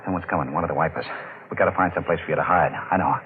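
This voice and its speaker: A man playing a guilty, murderous deep-sea diver and sailor in an old-time radio drama. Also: rapid-fire, natural voice. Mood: cold, menacing. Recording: noisy, narrow-band vintage radio recording.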